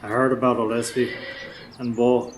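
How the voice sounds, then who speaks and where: A man playing a kindly older stable master in a radio play. The voice is mid-pitched and gently authoritative. There is faint noise in the live recording. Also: flat delivery, moderate pace, natural voice. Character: Mister Tully, kindly older male stable boss. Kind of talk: radio drama dialogue.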